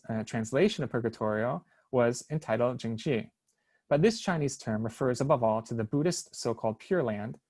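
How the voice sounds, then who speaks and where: A man presenting a prepared research paper at an online seminar, reading carefully with small hesitations over foreign names. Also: medium pitch. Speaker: academic lecturer. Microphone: online seminar, computer mic.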